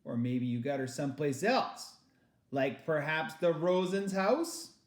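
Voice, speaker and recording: A man's voice: on the high side, a little slow, roomy sound, lightly acted. A man voicing a stern, older German officer, angry and sneering, in a menacing, insistent manner. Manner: barking orders, menacing, insistent.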